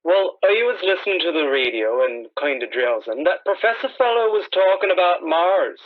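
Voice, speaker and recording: A man playing a slow, folksy, hesitant farmer giving an eyewitness account in a 1930s-style radio play. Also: sits high, big pitch swings. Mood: rambling. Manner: hesitant, rambling, rustic dialect turns of phrase. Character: slow, rambling, folksy male farmer, mildly rattled eyewitness. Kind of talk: 1930s radio drama acting. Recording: tinny telephone-like radio band.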